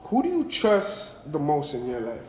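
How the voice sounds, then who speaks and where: A man's voice: a little high, huge pitch swings, normal speed, darkish tone. A man hosting an interview, asking easy questions and affirming answers with short repeated reactions. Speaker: interview host. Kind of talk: casual interview talk. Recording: echoey room with faint hum.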